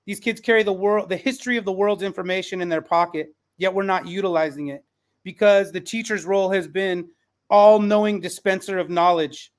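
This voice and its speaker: A man's voice: high voice, brisk. A young man hosting a conversational interview, speaking in an appreciative, affirming way. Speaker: podcast host.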